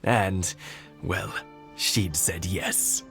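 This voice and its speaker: An actor playing a kindly old village husband in a video game, reminiscing in a slow, reflective, measured manner. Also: male voice, deep voice, gravelly, fairly bright tone, heavily acted. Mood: nostalgic. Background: background music.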